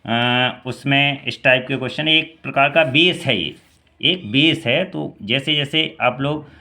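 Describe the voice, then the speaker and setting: A man's voice: mid-range voice, a little slow, sharp tone. A man in his thirties from India teaching an exam-prep maths lesson, quizzing the listener and answering himself, repeating key steps. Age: 30s.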